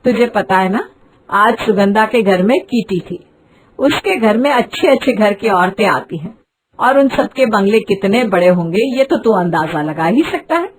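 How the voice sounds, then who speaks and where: A woman's voice: mid-range voice, animated, moderate pace, natural voice, low-quality recording. A woman voicing a boastful, dramatic middle-aged Indian mother-in-law in a cartoon moral story. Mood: persuasive. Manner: haughty, dramatic, wheedling.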